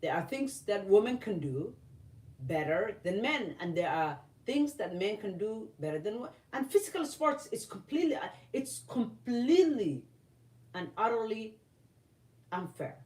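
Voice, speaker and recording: A woman from Somalia, giving earnest opinion commentary to camera, halting with many fillers and restarts. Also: medium pitch, sing-song, a little mellow, recorded from a distance. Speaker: vlogger. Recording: roomy, voice from off-mic.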